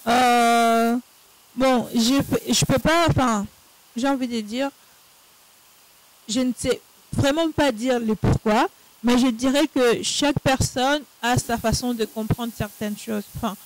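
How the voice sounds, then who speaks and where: A woman's voice: a little high, fairly even intonation, takes it slowly, low-quality recording. A young woman student, a guest speaking hesitantly in a casual discussion. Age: young adult. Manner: hesitant, with many fillers and restarts.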